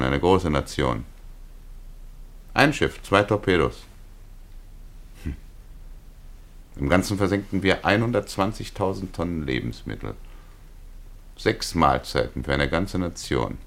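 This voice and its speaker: An actor playing a reflective, embittered burglar and war veteran in a 1950s radio play, soft-spoken, ironic and philosophising. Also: male voice, deep voice, expressive, a little slow, pauses often. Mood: reflective, persuasive.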